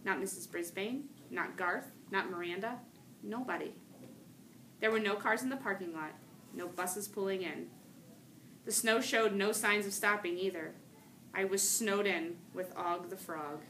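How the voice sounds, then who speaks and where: A woman reading a children's novel aloud in an animated, emphatic storytelling manner. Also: medium pitch, a little slow. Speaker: storybook reader, teacher or school staff. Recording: noticeable background room noise.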